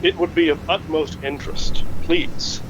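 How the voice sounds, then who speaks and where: A man playing a courteous, formal man who speaks haltingly in a naturalistic audio drama phone call. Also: on the high side, fairly even intonation, background noise, lightly acted. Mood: polite, earnest. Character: courteous, formal man offering condolences and help. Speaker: caller.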